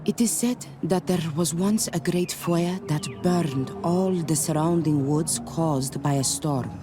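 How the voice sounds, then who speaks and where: A mystical clanswoman in a fantasy game. Her voice is deep for a woman, teasing and proud, with formal, stilted phrasing. Music runs clearly behind her. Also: a little slow. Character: playful, cryptic native clan woman, proud and mystical. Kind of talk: video game character acting. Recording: music under the dialogue.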